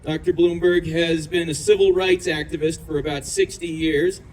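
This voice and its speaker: Male emcee, high-pitched voice, reading from prepared notes at an outdoor event with faint traffic noise. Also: level tone, moderate pace, a little mellow, rough recording. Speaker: master of ceremonies. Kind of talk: reading a speaker introduction aloud. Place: outdoors at a downtown festival.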